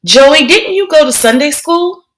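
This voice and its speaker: Shaken young boy, quiet and halting, a little raised in pitch, voiced by a woman. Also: expressive. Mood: dazed.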